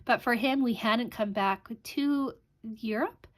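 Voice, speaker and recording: A woman's voice: on the high side, takes it slowly. A woman in her thirties from Canada, hosting a crafting channel and chatting casually about her life, rambling with frequent ums. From Canada (Alberta). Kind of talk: casual vlog chat.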